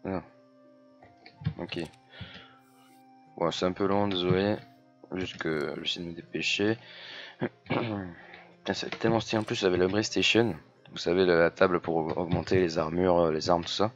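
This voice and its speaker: A hoarse man chatting casually as he plays a video game, full of fillers and restarts. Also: deep voice, steady tone, unhurried, long pauses, lo-fi sound, faint music behind. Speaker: gaming YouTuber. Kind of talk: casual let's-play commentary.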